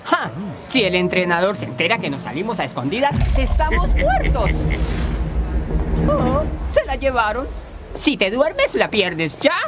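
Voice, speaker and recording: Excitable teen-boy footballer; high, springy delivery. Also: measured pace. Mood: eager. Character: excitable young male footballer, goofy. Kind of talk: Spanish cartoon dub. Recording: loud music under dialogue.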